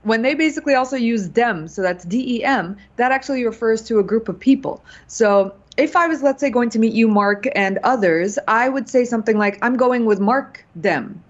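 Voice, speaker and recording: Chatty woman reporting, slightly high voice. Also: normal speed, hardly any pauses, dull audio. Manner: explanatory, with frequent fillers. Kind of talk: radio segment chat.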